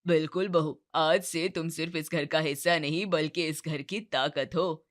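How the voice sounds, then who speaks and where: Woman voicing a low, concerned middle-aged father. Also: steady tone, moderate pace, lightly acted. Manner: concerned, questioning. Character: worried, kindly middle-aged father, patient. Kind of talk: moral-story cartoon voice acting.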